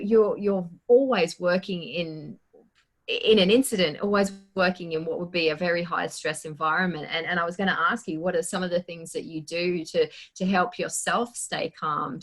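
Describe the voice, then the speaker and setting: Aussie woman coach, lowish voice, chatty storytelling in an interview. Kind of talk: conversational interview.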